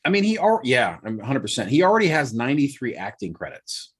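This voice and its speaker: A man's voice: mid-range voice, big pitch swings, a little fast, breathy edge. A man in his forties co-hosting a podcast, chatting casually and thinking aloud as he goes. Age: 40s. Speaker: podcast co-host. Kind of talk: casual chat.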